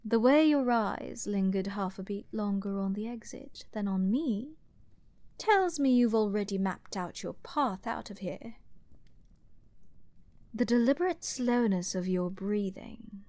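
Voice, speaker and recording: Woman playing a haughty, slow-spoken princess. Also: mid-range voice, darkish tone, no room sound, close to an everyday voice. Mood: knowing, menacing. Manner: haughty, theatrical, drawn-out aristocratic phrasing. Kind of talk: dramatic fantasy audio roleplay, ASMR-like close delivery.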